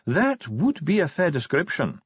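A man voicing a haughty, coldly calm dwarf official in an audiobook, speaking formally and precisely with contempt and accusation. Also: a little high, unhurried, slightly rough, no echo, muffled recording, voice pushed up, exaggerated voice. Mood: condescending calm. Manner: formal, precise, pedantic, condescending. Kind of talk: audiobook character dialogue.

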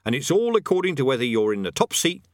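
A man voicing a smug, rough-spoken army sergeant who gloats with cheerful menace. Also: mid-range voice, big pitch swings, fast, no echo, clean studio sound, pitched above the performer's own voice, lightly acted. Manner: coarse colloquial speech, gloating, cheerful menace.

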